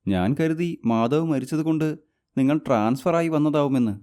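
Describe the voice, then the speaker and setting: A man's voice: a little high, lively intonation, moderate pace, mellow, clear, resonant tone, lightly acted. A man voicing an earnest, insistent office worker in a storybook tale. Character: office man, persistent suitor, later a stepfather.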